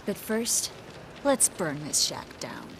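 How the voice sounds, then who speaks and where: A woman playing a composed, worldly sorceress in a video game, with a measured, dry, wry delivery. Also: medium pitch, sing-song. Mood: guarded, decisive. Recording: faint ambient noise behind.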